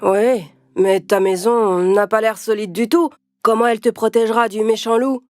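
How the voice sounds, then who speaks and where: A woman's voice: a little high, fairly even intonation, normal speed, a little mellow, dry recording, clean studio sound, natural voice. Woman as a sensible, admonishing eldest pig brother. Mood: cautioning. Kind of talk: children's storybook acting. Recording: music behind the voice.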